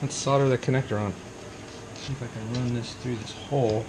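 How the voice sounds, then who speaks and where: A man mumbles through a car repair for his vlog, half to himself and half to the camera. His pitch sits in the middle range. Heavy background noise from the work around the car fills the recording. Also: fairly even intonation, a little fast. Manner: muttering running self-talk while working with tools. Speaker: DIY car vlogger. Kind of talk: casual working commentary to camera. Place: working on a car, near a lawn being mowed.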